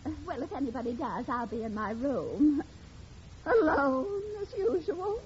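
An actress playing a self-pitying, lovelorn spinster sister with plaintive melodrama in 1940s radio comedy. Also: female voice, high voice, lively intonation, unhurried, background noise. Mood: forlorn, anxious. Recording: muffled old radio with audience.

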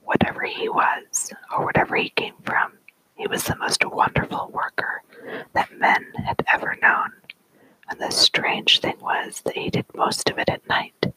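A storyteller reading an old folk tale aloud entirely in a soft, unvoiced whisper. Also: measured pace, rough recording.

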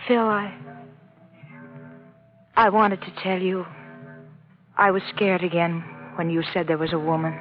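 Actress as anxious young sweetheart, unhurried, earnest, 1940s radio drama, dull vintage sound with music. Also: female voice, on the low side, flat delivery, lightly acted. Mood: hesitant, confessing. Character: anxious, lovestruck young woman, the captain's sweetheart. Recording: muffled old radio recording with music.

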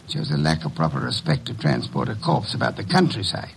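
An actor playing a blunt, imperious detective in an old radio drama, pompous and formal, with a dry wit and a gravelly, husky voice. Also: male voice, very deep voice, lively intonation, brisk, a little hiss or hum, full character voice. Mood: stern. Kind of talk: 1940s-1950s American radio drama acting. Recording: vintage radio recording.

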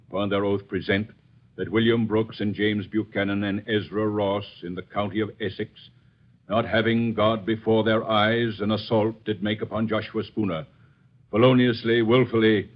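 Stern, lowish-voiced colonial court official, acted by a man. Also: close to an everyday voice. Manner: solemn, formal, declamatory. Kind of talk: old-time radio drama acting. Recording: dull, lo-fi old radio recording.

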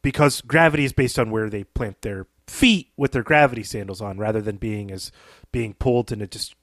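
A man's voice: on the low side, fairly quick, fairly bright tone, no echo, clean studio sound. A man co-hosting a podcast, discussing a story conversationally in an analytical, explanatory way. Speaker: podcast co-host.

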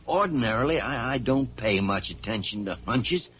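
A crotchety, shrewd old doctor played by a radio actor, gruff and brusque, his pitch jumping up and down as he repeats himself. The sound is a dull, slightly noisy vintage radio recording. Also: animated, normal speed, lightly acted. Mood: shrewd, brooding. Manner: gruff, irascible, repeating words. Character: crotchety, shrewd older senior doctor, brusque but fond. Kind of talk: 1940s radio drama acting.

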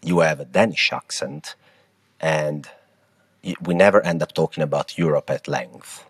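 Deep husky Italian-accented man. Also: moderate pace. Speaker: podcast co-host. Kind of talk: casual market discussion.

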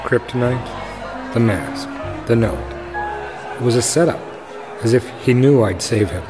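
A noble superhero, acted by a man talks in an earnest, measured voice of medium pitch. He sounds steady and gentle, in the manner of an audio drama. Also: over music, close to an everyday voice. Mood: subdued, serious.

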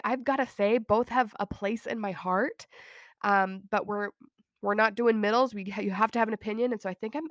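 A chatty woman podcast host with a cold, her voice sitting a bit high and bubbly. She rambles casually with giggles and self-deprecating asides, recorded close and dry with no room sound. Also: creaky, no room sound. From Utah, USA. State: has a cold. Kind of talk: casual solo podcast chat.

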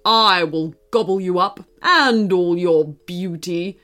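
Woman doing a slow, devilish monster crocodile. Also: lowish voice, a bit bright, voice pushed down. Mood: menacing. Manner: devilish, slow menacing gloating. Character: huge monstrous male crocodile, devilish and menacing.

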